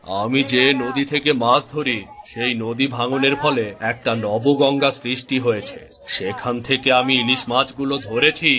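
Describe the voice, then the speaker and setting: A man voicing an honest, contented fisherman father in a cartoon, measured and moralizing. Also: mid-range voice, fairly even intonation, sharp tone, close to an everyday voice. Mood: matter-of-fact. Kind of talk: Bengali cartoon voice acting.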